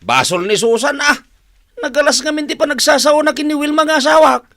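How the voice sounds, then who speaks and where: An animated, bright voice plays a defensive young husband in a Filipino radio drama. He grumbles and questions stubbornly, recorded dry and close. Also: very high-pitched, a little fast, no room sound, heavily acted.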